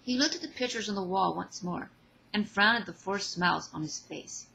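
A woman's voice: medium pitch, normal speed, creaky, bright, forceful tone, husky, poor audio. A woman reading scripted story narration as the narrator.

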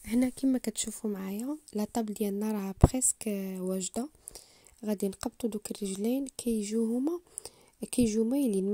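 Woman, Moroccan Arabic accent, quick talker, very dark mellow tone, dry close sound, DIY tutorial voice-over. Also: medium pitch, no echo. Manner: unhurried, explanatory, addresses viewers directly. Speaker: home DIY channel host. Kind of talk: step-by-step assembly tutorial voice-over.

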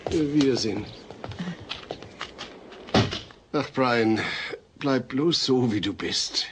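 A medium-pitched voice over faint noise. A man plays a mild suburban dad in a sitcom audio drama, reasonable, hesitant and well-meaning. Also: a little slow, lightly acted. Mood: friendly. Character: patient, well-meaning suburban family father. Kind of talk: German dubbed sitcom audio play. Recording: noisy old cassette transfer.

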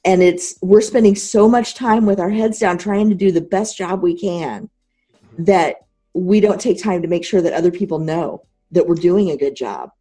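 Female podcast interviewer, dark mellow tone, on the low side, measured and articulate. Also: breathy edge, soft background music. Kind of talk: conversational interview.

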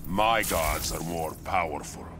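A man's voice: deep voice, measured pace, loud music behind the voice. An actor playing a proud, contemptuous godlike warrior king in a video game, pompous and stern. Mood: proud menace. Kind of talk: video game fight banter.

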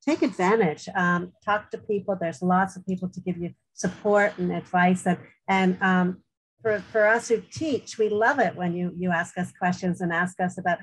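A woman's voice: on the low side, steady tone, moderate pace, slightly rough, rough recording. A middle-aged woman from Canada with a Canadian accent, a university radiography lecturer answering on a webinar panel in an earnest, encouraging way with many ums, heard through a headset on a video call.

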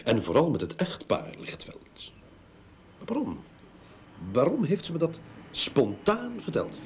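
An actor playing a patient, probing detective in a Dutch radio drama, with a hesitant, questioning manner. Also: male voice, a little high, wildly swooping pitch, unhurried, slight background noise, heavily acted. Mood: pensive. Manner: hesitant, questioning, with murmured hums. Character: probing, pensive male detective, patient and methodical.

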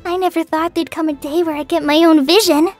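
An earnest young girl ranger from a fantasy video game, with a very high, youthful voice. She speaks softly and hesitantly, sincere and a little shy. Light music plays behind her. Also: fairly even intonation, fairly quick. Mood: grateful, reflective. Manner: hesitant, soft-spoken, sincere. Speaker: game character voice. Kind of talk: scripted video game character lines. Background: background music.

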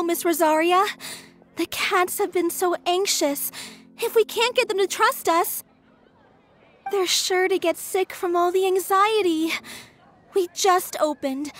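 Game role: earnest, fretful young shelter owner, high bright voice. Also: female voice. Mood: worried. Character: earnest young woman running a cat shelter, anxious. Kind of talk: video game voice acting.

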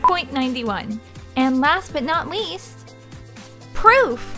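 A woman with a high voice delivers scripted video narration slowly, a little prone to stumbling. Faint music sits behind her. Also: sing-song. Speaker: video narrator presenting episode facts. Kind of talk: scripted narration with flubbed outtakes.

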